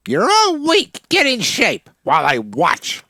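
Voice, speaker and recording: A man's voice: very high voice, huge pitch swings, measured pace, pushed and bright, no room sound, studio quality, pitched above the performer's own voice, full character voice. A man voicing a boastful, sarcastic robot bear villain from a video game, with theatrical, taunting delivery. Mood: bossy.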